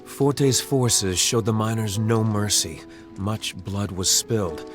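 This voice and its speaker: An actor playing a calm, sincere young prince in a game cutscene, speaking in a measured, formal, soft-spoken way. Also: male voice, fairly low pitch. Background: game soundtrack music.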